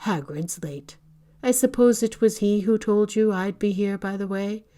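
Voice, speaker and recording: A woman voicing a calm, gently wry old male wizard headmaster in a storybook reading, speaking mildly and unhurriedly. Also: mid-range voice, measured pace, close to an everyday voice. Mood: grave.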